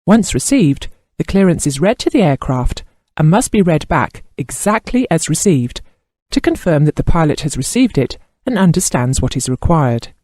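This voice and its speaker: A man narrates training material formally and with care. His voice sits a little high for a man and moves through a lively range of pitch. The tone stays soft and mellow. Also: no room sound, clean studio sound. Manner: formal, measured reading. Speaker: instructor narrator. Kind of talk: scripted instructional narration.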